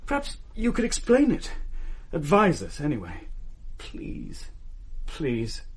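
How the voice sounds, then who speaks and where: Earnest, anxious British husband. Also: male voice, on the high side, huge pitch swings, takes it slowly, slightly rough, full character voice. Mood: desperate, pleading. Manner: earnest, hesitant, pleading. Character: polite, anxious young husband pleading for help. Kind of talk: radio drama acting.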